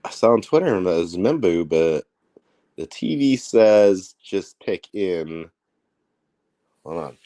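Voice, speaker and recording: A man with an Indiana accent hosting a casual livestream, talking in a rambling, profane, excitable way. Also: low-pitched, slow, long pauses, no echo. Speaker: livestream host.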